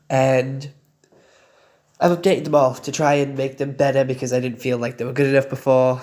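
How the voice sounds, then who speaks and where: Croaky young man reviewing games. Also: medium pitch, a little mellow. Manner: chatty and loose, with frequent uh and um fillers and casual swearing. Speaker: YouTube game reviewer. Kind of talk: casual spoken review.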